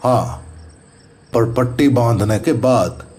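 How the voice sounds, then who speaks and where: A man playing a serious, methodical paranormal investigator in an audio horror drama, speaking deliberate rustic Haryanvi-Rajasthani Hindi. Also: mid-range voice, darkish tone, lightly acted. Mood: instructive. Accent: Haryanvi-Rajasthani rural Hindi. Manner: deliberate, instructive. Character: methodical, serious male paranormal investigator, neighbourhood elder brother.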